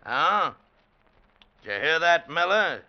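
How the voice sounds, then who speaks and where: A man's voice: fairly high pitch, sing-song, slow. An actor playing a cruel, hard-drinking foreman who sneers and barks orders in an old radio drama. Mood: sneering. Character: cruel bullying chain-gang foreman, hard-drinking tough. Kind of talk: 1940s-50s radio drama acting. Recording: muffled old radio recording.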